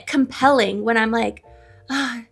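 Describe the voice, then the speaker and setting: A woman's voice: fairly high pitch, a little slow, vocal fry, breathy edge, soft background music. A woman co-hosting a casual book chat, candid and playfully dramatic in informal slang. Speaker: co-host of a book discussion video. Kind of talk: casual chat, trading confessed book opinions.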